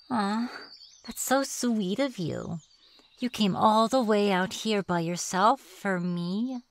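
A woman's voice: medium pitch, no echo, close to an everyday voice. A woman playing a playful, sly reclusive witch in an ASMR fantasy roleplay, musing and teasing in a coy manner. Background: birdsong and forest ambience.

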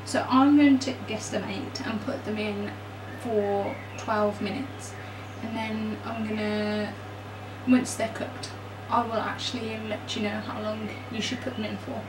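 A woman's voice: medium pitch, fairly even intonation, unhurried. A young adult woman giving a casual, chatty baking demonstration in an informal, self-deprecating way, recorded indoors on a camera mic. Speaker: home baking vlogger. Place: small home kitchen, indoors. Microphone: camera mic a metre or two away. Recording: roomy, distant mic, noisy background.

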